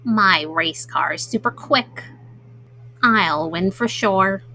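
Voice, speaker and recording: A woman's voice: mid-range voice, takes it slowly, sharp tone, voice pushed down, heavily acted. A woman voicing a confident, competitive male turtle in a children's storybook, boasting as he talks. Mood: boastful.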